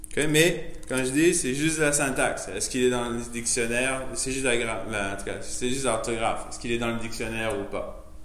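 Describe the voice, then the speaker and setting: A man's voice: medium pitch, steady tone, normal speed, a bit bright. Guy demoing his editor setup, rambly and loose, not his first language, echoey room. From immigrant to Canada. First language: not French. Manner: loose, chatty, thinking aloud, many fillers and restarts. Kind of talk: casual live software demo. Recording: echoey room, faint noise, keyboard typing.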